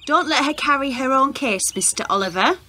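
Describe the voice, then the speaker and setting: A woman acting a wry, pragmatic young woman in a radio drama. Her voice sits slightly high, and she talks plainly and deadpan. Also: brisk. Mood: stoical. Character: dry, pragmatic young working-class woman, wry and stoical.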